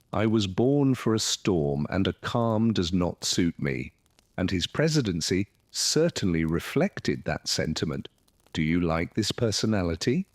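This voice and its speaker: Deep-voiced man narrating soft bedtime history. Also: no room sound. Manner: slow, gentle, measured storytelling.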